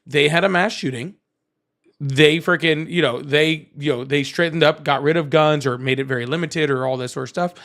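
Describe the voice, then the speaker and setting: A man's voice: a little high, moderate pace, fairly bright tone. A man hosting a live political call-in stream, arguing his point in casual debate and tagging "right?" and "okay?" onto his sentences. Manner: argumentative, keeps adding "right?" and "okay?".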